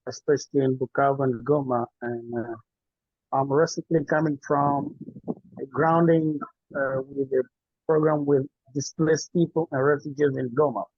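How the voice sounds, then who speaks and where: Congolese activist, man, husky and halting, video-call sound. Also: mid-range voice, steady tone, no echo. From Eastern Congo. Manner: halting, searching for words in English. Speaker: activist and party official. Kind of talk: self-introduction in a video meeting. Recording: dull, dry video-call audio.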